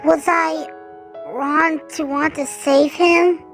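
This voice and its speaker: Kindly female locomotive for a children's audio story, woman actor: very high, unhurried, heartfelt and halting. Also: steady tone, a bit bright. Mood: grieving. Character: kindly female tank-engine character, grieving, earnest and caring. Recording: music behind the voice.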